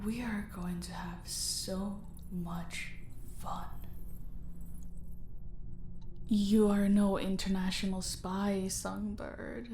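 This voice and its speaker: A woman voicing a dominant, playfully sadistic mafia boss in an audio roleplay, taunting with mock sweetness and slipping into breathy whispers. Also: medium pitch, level tone, slow pace, mellow, slight background noise. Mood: smug menace. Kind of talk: roleplay audio drama, slow intimate villain monologue.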